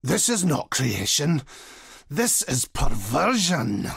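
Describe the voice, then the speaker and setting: Gravelly, slow-spoken templar zealot. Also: male voice, on the high side, big pitch swings, fairly bright tone, no echo, clean studio sound, full character voice. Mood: grave, brooding. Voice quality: gravelly, husky. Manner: solemn, pious, archaic preacherly phrasing. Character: devout, grim, righteous old templar zealot exiled from his order. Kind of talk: dramatic video game character voice lines.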